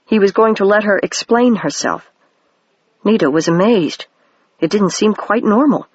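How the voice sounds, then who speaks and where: An even-paced audiobook narrator reading a children's novel. The voice sits at a middle pitch. The recording is dry and dull, like a home setup. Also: moderate pace, breathy edge, no room sound. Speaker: audiobook reader.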